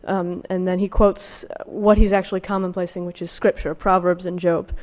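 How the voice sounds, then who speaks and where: A woman's voice: lowish voice, little pitch movement, moderate pace, a little mellow. Woman lecturing in a reverberant hall. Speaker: academic lecturer presenting research. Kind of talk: academic talk with slides, reading out quotations.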